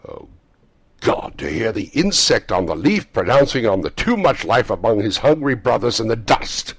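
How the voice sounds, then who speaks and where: A man acts out a solemn, reproachful ghost from a storybook. The spirit declaims slowly and emphatically, with sweeping intonation and a husky, gravelly edge to the voice. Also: very deep voice, expressive, no room sound, heavily acted. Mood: indignant rebuke. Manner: declamatory, slow, emphatic, indignant.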